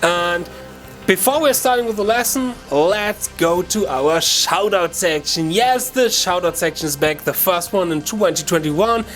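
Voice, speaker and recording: A man with a German accent hosting a guitar lesson, talking to viewers in an informal, chatty way with halting self-corrections. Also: sits high, fairly bright tone. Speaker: guitar teacher and video channel host. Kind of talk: casual video lesson talk to viewers.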